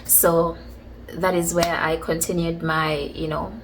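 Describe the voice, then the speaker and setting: Woman, casual storytelling, relaxed, slightly low pitch, faint background hum. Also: slow, low-quality recording. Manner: chatty, relaxed, many fillers like "like" and "you know". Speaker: vlogger. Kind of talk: casual storytelling about childhood.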